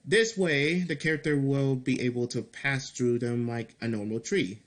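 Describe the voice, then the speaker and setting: Male indie game developer, slow and halting, mid-pitched, casual screen commentary with mild swearing. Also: a bit bright. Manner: halting, repeats and restarts phrases. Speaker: game developer making a devlog. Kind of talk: casual screen-recorded commentary, reading and answering feedback.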